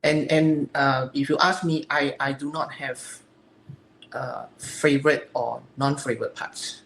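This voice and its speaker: A woman from Singapore with a Singaporean English accent, answering interview questions earnestly and haltingly, searching for her words. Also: extremely low pitch, fairly even intonation. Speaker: interview guest, physique competitor. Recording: echoey room, faint ambient noise.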